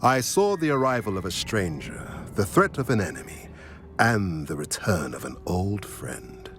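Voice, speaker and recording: An actor playing a wise old male centaur who reads the stars, speaking in a measured, cryptic way in a video game. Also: low voice, big pitch swings, a bit bright, soft background music, full character voice. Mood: solemn. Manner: slow, measured, solemn and riddling.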